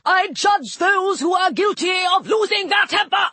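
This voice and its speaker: A woman's voice: very high voice, sing-song, measured pace, fairly bright tone, no room sound, voice pushed up, exaggerated voice. A woman voicing a fussy, easily outraged male god of judgment in an audiobook, exclaiming in formal address. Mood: angry shouting.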